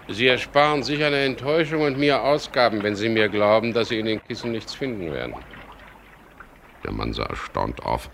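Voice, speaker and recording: A wry, self-assured skipper tells and lives a crime story in a 1970s German radio play. The voice is extremely deep with a gravelly creak, teasing and dry. A faint noise lies under the old recording. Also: male voice, a bit bright, heavily acted. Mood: commanding, mocking. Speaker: lead actor and first-person narrator.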